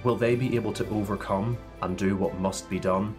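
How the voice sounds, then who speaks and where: A man's voice: lowish voice, fairly even intonation, normal speed. A man narrating a dramatic fantasy trailer for a podcast promo. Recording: music bed behind the voice.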